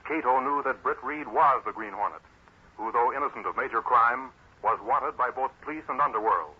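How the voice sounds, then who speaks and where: A man's voice: mid-range voice, normal speed, breathy edge. Male serial narrator: declamatory vintage radio announcing, medium register, dull and slightly noisy recording. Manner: dramatic, declamatory. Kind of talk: 1940s radio scripted narration.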